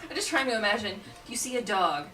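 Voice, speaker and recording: A woman's voice: medium pitch, animated, fast talker, music in the background. A woman playing a tabletop game, chatting and joking casually with the other players. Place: indoor room, around a home game table. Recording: roomy, distant mic, loud clattering noise.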